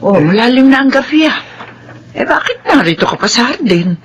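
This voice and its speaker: A woman's voice: fairly high pitch, big pitch swings, normal speed, over music. A woman playing a fussy, motherly older household helper in a Filipino radio drama. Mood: concerned, startled. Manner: motherly, fretful.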